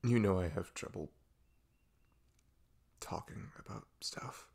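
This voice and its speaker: A man playing a depressed, hesitant boyfriend in an intimate ASMR roleplay, speaking haltingly and trailing off. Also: low voice, creaky, darkish tone, slightly rough, exaggerated voice. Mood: sad, confiding. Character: depressed, hesitant boyfriend opening up to his partner.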